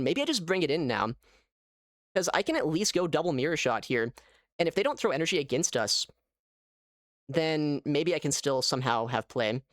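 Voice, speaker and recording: Animated man commentating on his game. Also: a little high, dry recording, clean studio sound. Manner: animated, thinking aloud. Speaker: gaming streamer. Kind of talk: casual live gameplay commentary.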